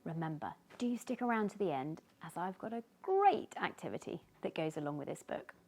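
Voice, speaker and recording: A woman reading a children's story aloud as host, with animated, dramatic emphasis. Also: lowish voice, fairly quick.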